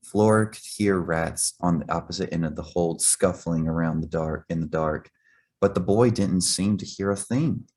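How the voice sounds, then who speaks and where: A man's voice: low-pitched, normal speed, darkish tone. A man reading a children's story aloud as scripted narration. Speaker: reader.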